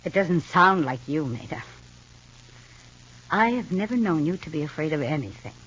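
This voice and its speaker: A woman's voice: low-pitched, very animated, moderate pace, fairly bright tone, husky, exaggerated voice. An actress in an old radio soap opera playing a resentful, defensive mature single woman with pointed retorts. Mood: cold, defensive. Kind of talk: 1940s-50s radio soap opera acting. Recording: muffled old radio recording.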